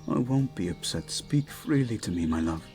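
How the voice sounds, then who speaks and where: A man playing a devoted, protective young prince in an intimate audio roleplay, speaking gently and somewhat formally to his betrothed. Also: lowish voice, animated, soft background music. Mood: tender, reassuring. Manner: gentle, soothing, measured, slightly formal phrasing.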